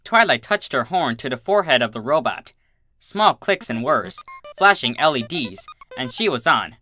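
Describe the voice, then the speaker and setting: Expressive, bright-voiced woman narrating a story. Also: a bass voice, moderate pace. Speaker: fan fiction reader. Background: soft guitar music.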